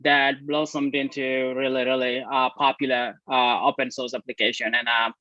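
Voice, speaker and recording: A man with a Kenyan accent, talking as a guest on a video call in a relaxed, storytelling way with frequent ums. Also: mid-range voice, normal speed, no room sound. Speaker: guest, software company CEO. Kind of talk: casual live-stream conversation. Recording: dry, dull lo-fi call audio.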